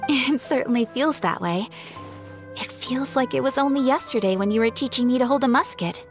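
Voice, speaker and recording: Actress as a high-voiced, refined young lady. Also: normal speed, over music, natural voice. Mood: gracious. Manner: poised, formal, courteous. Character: refined, gracious young woman visiting from abroad.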